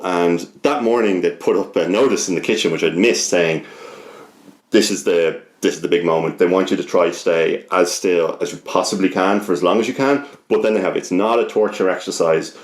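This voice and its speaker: A man's voice: sits low, brisk, roomy sound. A young Irish man telling a personal story casually as a podcast guest, sweary, rambling and often interrupting himself.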